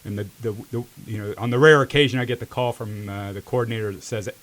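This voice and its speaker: A middle-aged American man answering casually as an interview guest, reflective and halting, often repeating his words. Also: on the low side, a bit bright. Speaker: volunteer mentor, interview guest.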